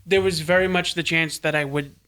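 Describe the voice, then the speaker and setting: Bright-voiced man explaining earnestly. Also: a little high, steady tone, fairly quick, clean tone. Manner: earnest, explaining things step by step. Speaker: podcast host. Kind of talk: casual podcast chat.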